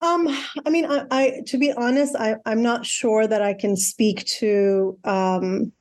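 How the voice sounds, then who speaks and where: A woman in her forties who grew up in Iran, answering interview questions thoughtfully with many pauses and restarts. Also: fairly high pitch, fairly even intonation, darkish tone. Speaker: learning specialist guest.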